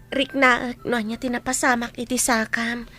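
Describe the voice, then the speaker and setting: A woman's voice: a little high, level tone, moderate pace, pushed and bright, soft background music. A Filipino woman playing a reassuring village massage healer in an audio drama, patient in manner. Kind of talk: Ilocano audio drama acting.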